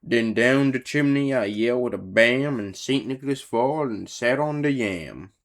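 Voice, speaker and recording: A man's voice: mid-range voice, animated, unhurried. A man playing a folksy old Cajun storyteller, narrating a dramatic Christmas verse in a Cajun accent. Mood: amused storytelling.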